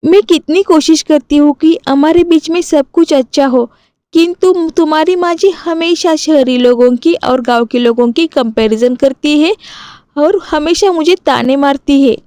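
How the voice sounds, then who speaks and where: A woman's voice: sits high, unhurried, mellow, no room sound. A woman voicing an earnest, frustrated young city daughter-in-law in a cartoon family story. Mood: aggrieved. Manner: earnest, aggrieved pleading.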